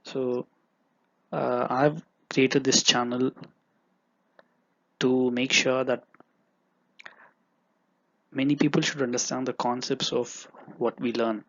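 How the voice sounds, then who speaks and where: A man's voice: mid-range voice, level tone, slow pace, pauses often. A young man whose first language is Tamil, teaching economics in an earnest lecture with frequent fillers and repeats. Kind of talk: lecture with examples. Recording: dull lo-fi recording.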